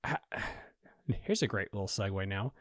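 Laid-back podcast host, dry studio mic. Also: male voice, sits low, expressive, normal speed, fairly bright tone, no room sound. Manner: laid-back, blunt and chatty. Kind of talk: casual podcast interview. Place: small home studio. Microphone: studio mic on a boom arm.

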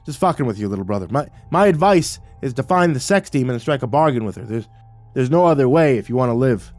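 A solemn male ancestor spirit as acted out by a male storyteller speaks with quiet gravity and a dry, teasing streak. He is an audiobook figure, wise and unhurried in temper. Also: medium pitch, expressive, no room sound, soft background music, natural voice. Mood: amused.

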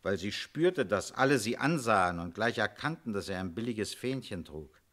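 An actor playing a shrewd, commanding police inspector in a German radio crime drama, questioning in a terse, authoritative way. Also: male voice, lowish voice, expressive, normal speed, a bit bright. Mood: calm, knowing.

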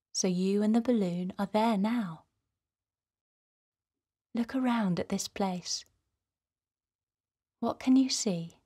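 A woman hosting a guided meditation for children, speaking in a gentle, soothing, softly breathy way. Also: medium pitch. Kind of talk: guided relaxation narration for children.

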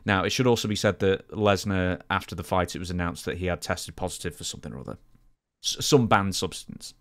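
A man's voice: low voice, brisk, a bit bright. A man co-hosting a podcast, talking casually through sports news and explaining it. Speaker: podcast co-host.

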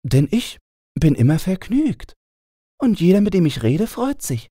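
A man's voice: sits high, big pitch swings, a little slow, warm tone, dry recording, clean studio sound, pitched above the performer's own voice, exaggerated voice. A man voicing a cheerful young fairy-tale princess with playful, naive, bossy chatter. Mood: amused, chattering.

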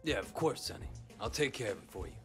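An actor playing a cool-headed, obliging young gangster in a video game, casual and understated. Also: male voice, mid-range voice, expressive, quick pace, fairly bright tone, soft background music, close to an everyday voice. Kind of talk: video game cutscene acting.

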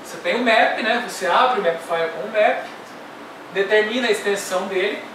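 A man's voice: high voice, fairly quick. A middle-aged man teaching a technical workshop, explaining step by step and checking in with "tá?". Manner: explains step by step, ends phrases with "tá?". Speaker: workshop instructor. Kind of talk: technical classroom lecture. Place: classroom with projector screen. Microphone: far camera. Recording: echoey room from far off, noisy.

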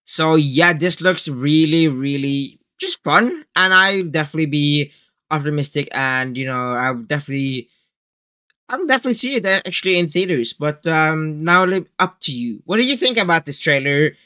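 A man's voice: a little high, animated, moderate pace, sharp tone. A teenage young man casually chatting as a reaction video host, rambling with hesitant phrasing. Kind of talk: casual trailer reaction chat. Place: small indoor room full of collectibles.

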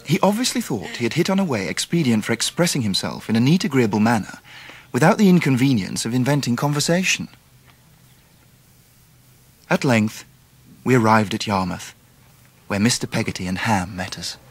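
An actor playing a grown Englishman recalling his boyhood in measured, wry radio drama narration. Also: mid-range voice, expressive, slightly rough. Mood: wry, reflective. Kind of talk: scripted first-person drama narration.

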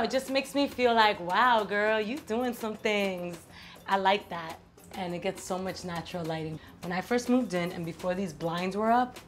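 A chatty, playful woman in her thirties walks through the bright rooms of her home on a camera mic. Her pitch sits mid-range, and her asides stretch out loosely. Faint music plays beneath her. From New York. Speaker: vlog host. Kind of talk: casual house tour vlog.

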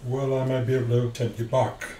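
A man's voice: mid-range voice, level tone, brisk, dark tone, lightly acted. A man playing a genial, tempting vampire in an amateur radio play. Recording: distant echoey room, faint noise.

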